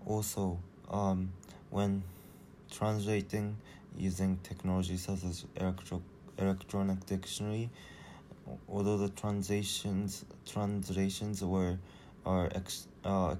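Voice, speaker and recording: Low, flat-voiced teenage boy presenting. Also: unhurried, slight background noise. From Japan. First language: Japanese. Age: teens. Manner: hesitant, many fillers and restarts. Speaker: student presenter. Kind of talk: school presentation.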